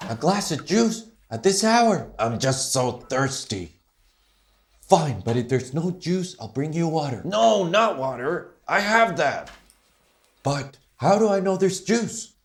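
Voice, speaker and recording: Man doing a slow, animated bathroom-object puppet. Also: a little high, heavily acted. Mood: pestering, grumbling. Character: talking bathroom objects, thirsty and grumbling puppets. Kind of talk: comic puppet dialogue, fan dub.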